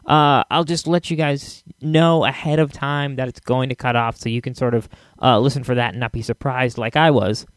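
A man's voice: medium pitch, steady tone, moderate pace, no room sound. A man hosting a podcast, introducing it in an informal, chatty way. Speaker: podcast host. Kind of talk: casual scripted-then-chatty podcast introduction.

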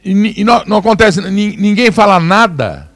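A man giving opinionated commentary, slowing down to stress his points with emphatic, deliberate delivery. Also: high-pitched, lively intonation, fairly bright tone, no echo, soft background music. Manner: emphatic, slows down to stress words. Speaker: commentator presenting video clips.

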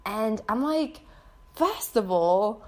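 A young woman who is not a native English speaker, hosting her own podcast in a casual, chatty way, full of fillers and quick laughs. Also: a little high, lively intonation, soft background music. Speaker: podcast host. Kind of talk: casual solo podcast talk.

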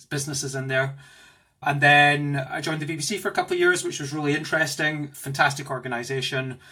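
A man in his forties with a Glasgow accent talks with lively enthusiasm from his home office. His voice is bright and carries a slightly husky edge. He tells long, rambling stories about his career in the style of a podcast interview. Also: mid-range voice. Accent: Glaswegian. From Scotland. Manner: animated, enthusiastic storyteller. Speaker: guest, tech executive.

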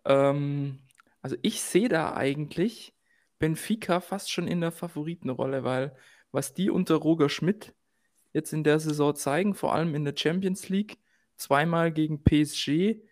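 A man with a somewhat high voice co-hosting a casual football podcast. He talks through his assessment in a measured, analytical way, often pausing on fillers. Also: a little mellow. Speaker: podcast co-host.

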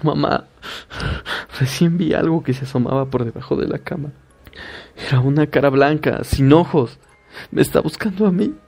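A man voicing a frightened young woman who pleads and stammers in panic, in a gravelly recorded horror story. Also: on the high side, normal speed, dark tone. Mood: panicked.

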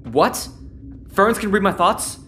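A woman's voice: mid-range voice, animated, unhurried, fairly bright tone, slight background noise. An actress playing a hesitant, confused teenage boy full of questions in an audio sci-fi drama. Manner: hesitant, unsure. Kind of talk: audio-fiction drama dialogue.

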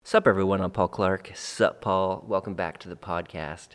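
A man's voice: deep voice, steady tone, normal speed, big-room sound, over loud music. A man hosting a podcast, talking reflectively and repeating phrases for emphasis, then interviewing a guest. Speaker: podcast host. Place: Central Oregon.

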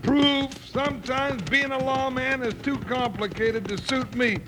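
An American actor playing a comic, folksy, excitable lawman sidekick in an old western radio drama. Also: very high voice, measured pace. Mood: grumbling, jocular. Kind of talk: 1950s western radio acting. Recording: noisy old-time radio recording.

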